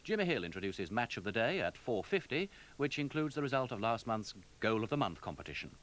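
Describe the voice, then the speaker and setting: A man's voice: medium pitch, big pitch swings, brisk, fairly bright tone. Lilting British male continuity announcer. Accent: British Received Pronunciation. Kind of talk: scripted 1980s continuity announcements.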